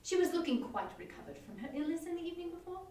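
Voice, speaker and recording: Female story reader: high, expressive pitch, dramatic narration, some distance from the mic. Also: quick pace, roomy sound. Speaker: reader at a live online performance.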